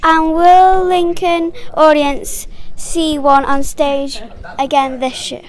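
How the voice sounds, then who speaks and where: A woman's voice: high-pitched, level tone, slow. A young female interviewer who asks prepared questions and chats casually.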